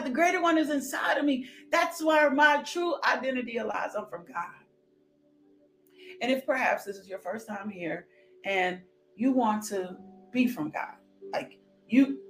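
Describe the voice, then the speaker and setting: A woman preacher delivering a fervent sermon, repeating declarations, breaking into high whoops and dropping to hushed prayer. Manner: exclamatory, with sudden high whoops. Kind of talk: fervent sermon with altar call and blessing.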